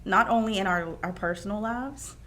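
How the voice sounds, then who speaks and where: A woman hosting a heartfelt, faith-based conversation, speaking in an animated, emphatic way and quick to laugh. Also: mid-range voice. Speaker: host interviewing her mother.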